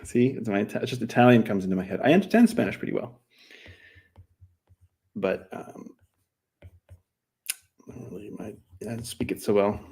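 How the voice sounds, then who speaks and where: A man's voice: on the low side, moderate pace, pauses often, darkish tone, breathy edge. An American man from New Mexico, chatting casually and rambling in a self-deprecating way while he draws on a live stream. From New Mexico, USA. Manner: rambling, self-deprecating, slips into other languages. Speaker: cartoonist streaming while drawing.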